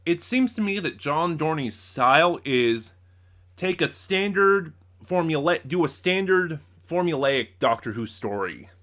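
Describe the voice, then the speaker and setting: A man's voice: a little high, lively intonation, a little slow, fairly bright tone. A man hosting a casual, unscripted review, talking in a rambling way with many asides and self-corrections. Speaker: host of a review channel.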